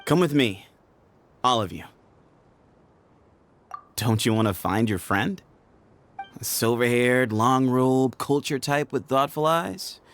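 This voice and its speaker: A man's voice: medium pitch, takes it slowly, pauses often, fairly bright tone. An actor playing a sly, cocky male gang leader in a video game, with a playful, mocking drawl. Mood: amused, mocking.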